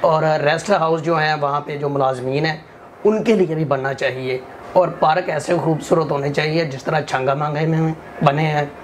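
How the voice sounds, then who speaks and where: A man from Pakistan with a sore throat, answering an interviewer earnestly and making appeals, often filling pauses with set phrases. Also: a little high, fairly even intonation, a little hiss or hum. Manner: earnest, earnestly appealing, with frequent "jo hai" fillers. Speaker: forest restoration activist, interview guest.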